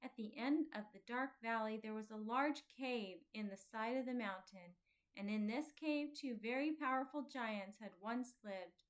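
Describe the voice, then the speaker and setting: A woman reading a children's storybook aloud as an expressive scripted narration. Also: on the high side, low-quality recording. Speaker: children's audiobook reader.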